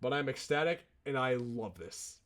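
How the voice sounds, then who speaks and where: A man's voice: mid-range voice, expressive, normal speed, fairly bright tone. A man chatting casually on a livestream as a game streamer, enthusiastic and informal in how he talks.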